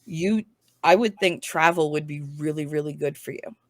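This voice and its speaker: A woman's voice: low-pitched, moderate pace, dry recording, clean studio sound. A woman giving a casual tarot reading, speaking in a frank, informal, explanatory way. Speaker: tarot reader, guest.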